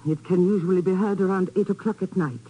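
An actress playing an earnest foreign radio station official, speaking formally and precisely in 1950s radio drama style. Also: female voice, fairly low pitch, steady tone, fast talker, warm tone, husky. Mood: grave, insistent. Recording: muffled old 1950s radio recording.

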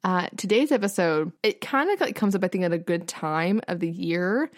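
A young woman co-hosting a casual podcast, chatting quickly and brightly, full of "like" and laughter. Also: fairly low pitch, expressive, dry recording, studio quality. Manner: fast, bubbly, filler-heavy talk with lots of laughing. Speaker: podcast co-host. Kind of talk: casual chat.

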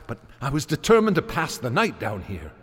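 An actor playing an excitable, haunted older American gentleman in a radio horror drama, speaking in a halting, stammering, agitated way. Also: mid-range voice, breathy edge, heavily acted. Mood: uneasy, intrigued. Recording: echoey vault reverb, music behind.

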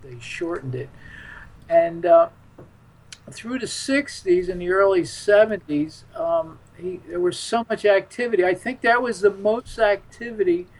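Higher-pitched, halting man interviewed. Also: rough recording. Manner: halting, frequent repeats and hesitations. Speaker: interview guest. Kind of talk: casual reminiscing interview talk.

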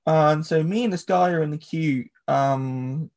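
A man's voice: fairly high pitch, unhurried, darkish tone. An English man hosting a podcast, rambling through casual chat with lots of filler words. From England. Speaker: podcast host.

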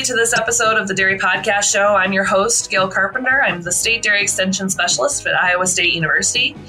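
A woman's voice: medium pitch, pushed and bright, low-quality recording. A woman hosting a podcast interview in a friendly, chatty way. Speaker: podcast host. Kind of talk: casual interview.

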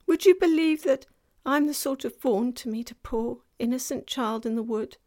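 A woman voicing a gentle, guilt-ridden male fawn in an anguished, self-reproaching, melodramatic way for a children's storybook. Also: high-pitched, normal speed, voice pushed up, close to an everyday voice. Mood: guilty, confessing. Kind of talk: dramatized children's storybook reading.

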